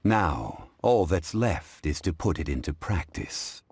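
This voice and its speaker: An actor playing a calm, refined gentleman connoisseur in a video game, speaking in a formal, measured, lecturing way. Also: sits low, slight background noise, heavily acted. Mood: calm, instructive. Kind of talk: English-dubbed video game acting.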